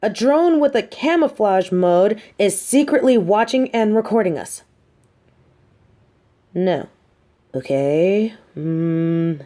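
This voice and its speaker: Woman acting as a smug, mellow-voiced female supervillain. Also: medium pitch, expressive, takes it slowly, long pauses. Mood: suspicious, musing. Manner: taunting, theatrical, conversational. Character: smug, mean but sympathetic female supervillain captor. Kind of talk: roleplay audio drama monologue.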